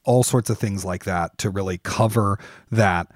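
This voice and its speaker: A man's voice: on the low side, normal speed, no room sound, studio quality. A man hosting a podcast in casual conversation, talking in an emphatic, explanatory way. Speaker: podcast host and writer.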